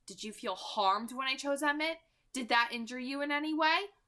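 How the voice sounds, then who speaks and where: Woman reading as a haughty young storybook woman, bitter and wounded, high bright voice, emphatic. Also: moderate pace. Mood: suspicious, indignant. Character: proud, bitter, immortal young woman, disdainful yet wounded.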